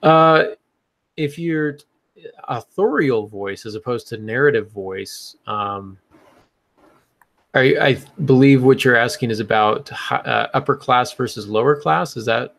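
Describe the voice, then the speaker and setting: Man with a creaky voice, explaining casually. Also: mid-range voice, measured pace, fairly bright tone. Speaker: podcast co-host. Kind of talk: casual panel discussion about fiction writing.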